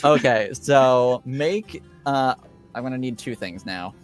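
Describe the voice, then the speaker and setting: Halting male game master over music. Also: medium pitch. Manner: halting, conversational second-person narration. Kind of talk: narrating a tabletop roleplaying game.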